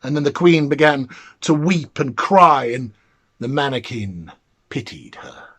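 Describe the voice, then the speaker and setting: A man reading a fairy tale as a storyteller, with theatrical, deliberate phrasing. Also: mid-range voice, animated, a bit bright, dry recording.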